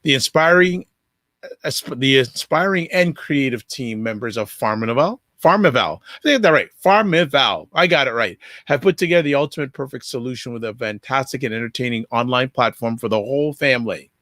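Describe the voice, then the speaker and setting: A man's voice: medium pitch, animated, fairly quick, a bit bright, no echo, studio quality. A man hosting an online talk show, reading an event introduction and tripping over words, then interviewing two guests. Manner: stumbles over words, laughs it off. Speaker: show host.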